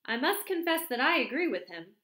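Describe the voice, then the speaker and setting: A woman's voice: sits high, big pitch swings, a little fast, sharp tone, pitched above the performer's own voice, full character voice. Woman reading a dry, reserved male vicar. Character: measured, dry middle-aged male vicar. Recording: roomy echo.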